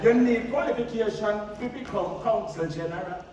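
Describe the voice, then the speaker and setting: A man on stage playing a chatty, excitable Jamaican farm worker in a comic pantomime, exclamatory and folksy with a Jamaican accent. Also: high voice, normal speed, a loud crowd, natural voice. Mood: teasing. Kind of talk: broad comic stage-play dialogue in patois. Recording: echoey theatre from far off, audience noise.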